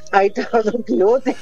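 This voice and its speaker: A woman in her seventies calling in to a radio show, chatting in a rambling, warm-hearted way with devout exclamations. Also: a little high, vocal fry, muffled recording. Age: 70s. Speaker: radio caller. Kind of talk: casual chat on a phone-in.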